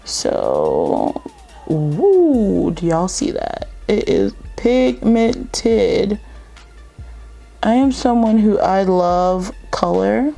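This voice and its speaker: A woman's voice: medium pitch, huge pitch swings, drawn-out words, vocal fry, mellow, soft background music. A young woman with a slight lisp, chatting casually through a makeup tutorial with plenty of fillers. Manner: chatty, rambling, lots of fillers. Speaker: beauty vlogger.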